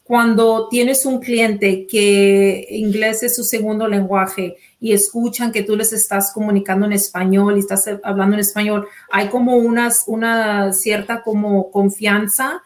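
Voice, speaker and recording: Host: woman, mid-pitched, casual and chatty, code-switching fillers. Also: flat delivery, measured pace, hardly any pauses. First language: Spanish. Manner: chatty, mixes in English fillers like "so" and "yeah". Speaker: interviewer. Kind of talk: casual interview chat.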